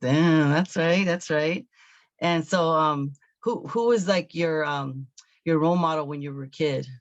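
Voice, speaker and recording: A man hosting a casual interview, hesitant and searching for words, with agreeable interjections and a creaky voice. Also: on the high side, a little slow, no echo, lo-fi sound. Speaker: talk show host interviewer.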